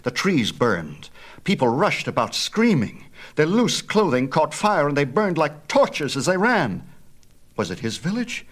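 A man narrating a myth as an audiobook storyteller, with a theatrical, measured and emphatic delivery. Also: a little high, wildly swooping pitch.

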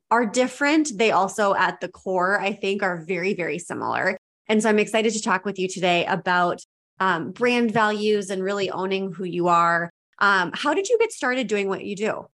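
A woman's voice: medium pitch, a bit bright. A woman hosting an interview podcast over a video call, enthusiastic and affirming. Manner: enthusiastic, affirming, repeats words. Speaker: podcast host. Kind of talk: casual interview chat.

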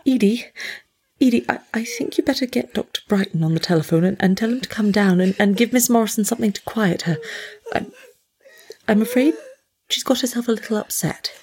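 A woman's voice: mid-range voice, fairly quick, a little mellow, close to an everyday voice. A woman acting a fussy, meddling society lady who gushes and stammers theatrically. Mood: flustered. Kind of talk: dramatic reading of a stage monologue.

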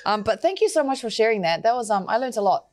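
A woman hosting a podcast, chatty and quick, with playful asides between serious points. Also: a little high, very animated, a little mellow. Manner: quick, chatty, laughs easily. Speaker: podcast host. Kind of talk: casual podcast chat.

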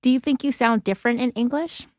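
A woman's voice: a little high, fast, darkish tone, no echo, studio quality. A woman interviewer asking short, prompting questions.

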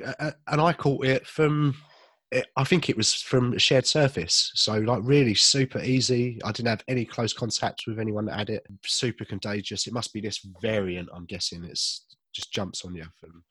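A man's voice: medium pitch, moderate pace, a bit bright, breathy edge, no echo. A man from the UK, co-hosting a casual podcast chat, talking in a chatty, self-deprecating way and laughing often, a little chesty after being ill. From United Kingdom. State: slight cough, a bit chesty. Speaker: podcast co-host.

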